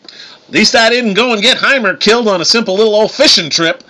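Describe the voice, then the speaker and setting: A man's voice: sits high, huge pitch swings, brisk, bright, forceful tone, pitched above the performer's own voice, exaggerated voice. A man voicing a swaggering racer god with a showy, insincere folksy Southern accent. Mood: taunting. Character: swaggering, needling male god, a race car driver.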